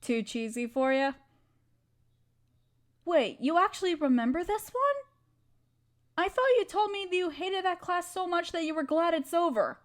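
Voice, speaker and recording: A woman's voice: very high-pitched, lively intonation, normal speed, pauses often. A woman playing an affectionate, playful young wife in an intimate roleplay audio, talking tenderly and teasingly to her partner. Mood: warm, nostalgic.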